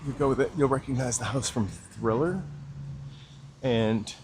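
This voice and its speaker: Man, outdoor walking live stream, chatty and upbeat, mid pitch, faint street noise. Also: unhurried. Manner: chatty, upbeat, greets viewers by name. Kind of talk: casual live-stream chat to viewers. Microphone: handheld gimbal camera mic.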